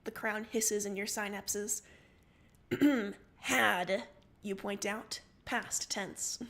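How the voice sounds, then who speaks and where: A woman's voice: medium pitch, fairly even intonation, extremely slow, a bit bright. A woman narrating second-person fiction expressively as a livestream story reader.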